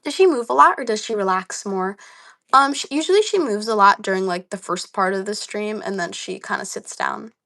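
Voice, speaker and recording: A woman's voice: mid-range voice, fairly quick, gravelly, sharp tone. A young woman with a slightly dry voice, chatting casually on a livestream, reading comments aloud with lots of ums and likes. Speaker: livestream host.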